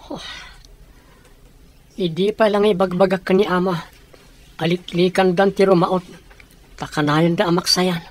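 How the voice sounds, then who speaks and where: An actor playing an earnest, peace-seeking son who pleads and reasons, in an Ilocano Filipino radio drama. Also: male voice, high voice, steady tone, a little slow, long pauses. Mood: resigned, reasoning.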